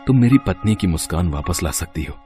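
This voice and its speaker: Man acting a deep-voiced, dramatic grieving father. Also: animated, fairly quick, over music. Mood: earnest pleading. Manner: dramatic, earnest. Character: wealthy grieving father and husband, earnest and pleading. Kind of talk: acted dialogue in a Hindi audio moral story.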